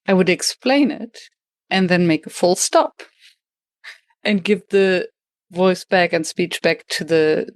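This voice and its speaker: A woman with a German accent hosts the conversation in a slightly low voice, recorded dry and close. She thinks aloud quickly and restarts her phrases along the way. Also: no echo. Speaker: podcast host and facilitation trainer. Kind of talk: conversational interview.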